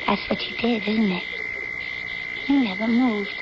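An actress playing a devoted, needy young daughter in a radio drama, earnest, dreamy and intimate. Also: female voice, mid-range voice, measured pace, close to an everyday voice. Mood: wistful. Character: devoted, needy schoolgirl daughter narrating her memories. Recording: noisy, dull old-time radio recording.

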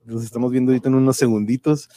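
A man's voice: mid-range voice, little pitch movement, very fast, mellow, no echo, studio quality. Chatty Mexican man hosting a livestream. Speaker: host of a live online show. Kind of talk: casual chat with family on a livestream.

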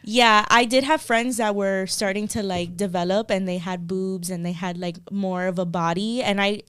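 A young woman chatting candidly on a podcast and filling her stories with "like". Her voice is smooth and clear, recorded dry and close. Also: medium pitch, fairly even intonation, moderate pace, a bit bright, no room sound. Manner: candid storytelling, lots of "like". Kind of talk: casual chat.

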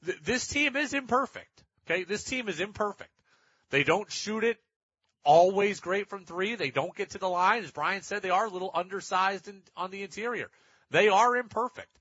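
A man's voice: sits high, moderate pace, a bit bright, breathy edge, no echo, highs cut off. A man hosting a sports talk radio show, giving emphatic commentary and repeating phrases for stress.

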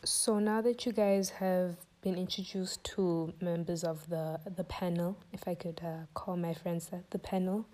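A woman's voice: lowish voice, fairly even intonation, a little slow, few pauses, mellow. A young woman hosting a podcast, talking casually and thinking aloud in a string of questions. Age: young adult. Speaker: podcast host.

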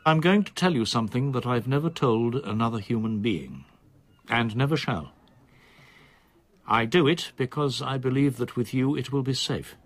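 An actor playing a reserved solicitor in his sixties in a radio drama, with a measured, formal, precise manner. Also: medium pitch, expressive, no echo, close to an everyday voice. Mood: quietly confiding. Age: 60s. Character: reserved older male solicitor, in his sixties, quietly confiding.

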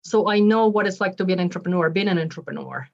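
A woman's voice: lowish voice, quick pace, gravelly. Chatty woman from Ecuador, muffled sound. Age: 40s. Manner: chatty and upbeat, with frequent ums and restarts. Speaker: nonprofit leader and guest. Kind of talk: podcast interview.

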